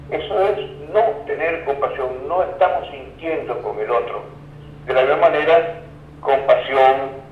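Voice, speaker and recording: A Venezuelan man speaking as an expert guest in an interview, explaining ideas reflectively and didactically with word origins and everyday examples. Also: fairly high pitch. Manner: didactic, reflective, checks in with the listener. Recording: roomy echo, dull lo-fi sound, faint noise.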